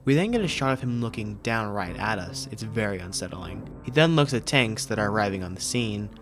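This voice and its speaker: A man's voice: lowish voice, lively intonation, brisk, over music. A man hosting a video review, narrating and commenting from a script. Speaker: YouTube host reviewing horror videos.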